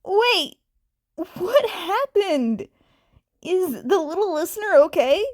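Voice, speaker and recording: A woman voicing a loud, excitable, caring man who frets anxiously in a story reading. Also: very high-pitched, slow pace, dry recording, studio quality, voice pushed up, heavily acted. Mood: alarmed.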